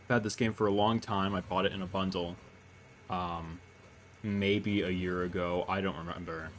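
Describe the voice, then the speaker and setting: Low-voiced man, casual gaming commentary. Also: fairly even intonation, a little hiss or hum. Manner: low-key, halting over unfamiliar words. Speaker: gaming video host. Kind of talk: casual game commentary, reading on-screen text aloud.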